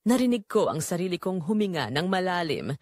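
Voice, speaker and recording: A woman narrating as a guilt-ridden, secretly longing mother in a scripted audio drama, with a measured, confessional delivery. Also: on the low side, very animated, a little mellow, dry recording, clean studio sound. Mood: longing, tense.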